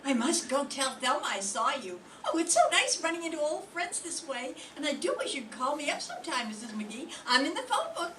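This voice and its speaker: A gossipy, scatterbrained lady who prattles on, with a very high, swooping voice, as an older woman acts her out. The room is echoey and the mic distant. Clear room noise sits underneath. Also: sing-song, fairly quick, hardly any pauses, pushed and bright, full character voice. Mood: chatty. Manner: prattling, gushing. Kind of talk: 1940s radio acting.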